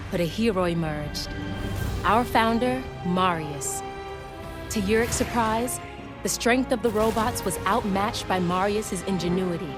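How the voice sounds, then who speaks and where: An actress playing a composed, proud warrior woman in an animated adventure game, speaking in a measured, formal storytelling way. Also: mid-range voice, lightly acted. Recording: loud background music.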